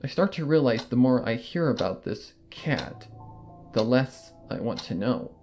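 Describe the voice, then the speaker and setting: A man narrating a first-person horror fanfiction in a measured, brooding way. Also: mid-range voice, animated, a little mellow, soft background music.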